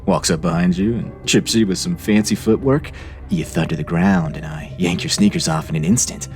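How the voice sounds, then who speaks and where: A man's voice: low voice, animated, normal speed, a little mellow, soft background music. A man voicing an eager, clingy, apologetic online chatter, over-friendly and wheedling, in a dramatized reading of chat messages. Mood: playful, gloating.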